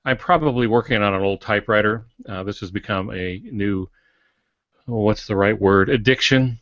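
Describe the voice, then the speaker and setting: A man in his sixties co-hosting a casual podcast over an online call, explaining things in a wry, measured way. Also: lowish voice, a bit bright, no room sound. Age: 60s. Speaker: podcast co-host and writer. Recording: dull, dry, lo-fi online call audio.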